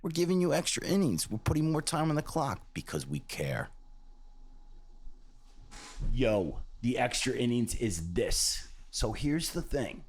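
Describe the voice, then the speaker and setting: A man's voice: medium pitch, sing-song, unhurried. A man hosting a podcast, talking earnestly and casually about self-love, repeating key phrases and swearing now and then. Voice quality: called a voice for radio by others. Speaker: podcast host. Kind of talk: motivational podcast talk.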